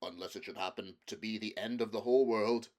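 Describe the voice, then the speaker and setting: A man's voice: lowish voice, fairly quick, close to an everyday voice. A man voicing a gloomy, fatalistic marsh creature in a storybook reading, predicting doom matter-of-factly. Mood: grimly certain. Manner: glum, matter-of-fact doom-saying.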